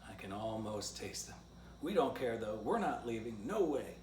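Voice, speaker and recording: A man's voice: fairly low pitch, sing-song, moderate pace, a little mellow. Man reading as crafty storybook dog leader, roomy sound. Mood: slyly defiant. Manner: declamatory, slyly insistent. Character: crafty male cartoon dog leader, loudly persuasive. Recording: roomy, distant mic, faint background noise.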